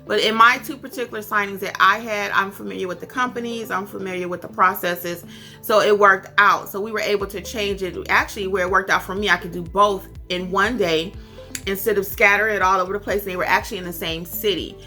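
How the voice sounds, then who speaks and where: An American woman with a bright, forward voice teaches in a tutorial style. She leans on key points and repeats them for emphasis. Faint music plays behind her. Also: medium pitch, fairly even intonation, brisk. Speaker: instructor and host of tutorial videos for notary signing agents. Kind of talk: instructional talk with acted-out example phone calls.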